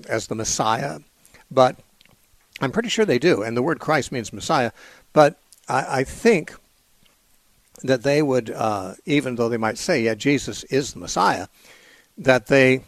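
A man's voice: medium pitch, no echo. A man hosting a call-in radio show, answering Bible questions thoughtfully with fillers and repeated words. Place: radio studio.

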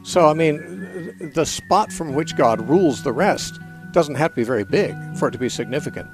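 A man's voice: a little high, expressive, moderate pace, over music, low-quality recording. A man hosting a call-in radio show, answering Bible questions thoughtfully with fillers and repeated words. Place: radio studio.

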